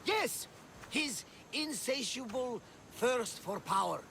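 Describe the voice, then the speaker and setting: A man playing a hearty, excitable ship's mate in a video game, gravelly and exuberant. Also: very high-pitched, slight background noise, full character voice. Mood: dramatic. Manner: exuberant, deliberate, storytelling flourish. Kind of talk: video game cutscene acting.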